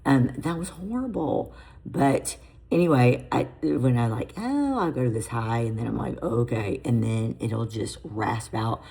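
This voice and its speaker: A woman in her fifties with a raspy voice, a fitness coach chatting casually to camera in a home vlog, self-deprecating and loose. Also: rumbling low, unhurried, warm tone, poor audio. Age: 50s. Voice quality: raspy, gravelly. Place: home garage on a treadmill.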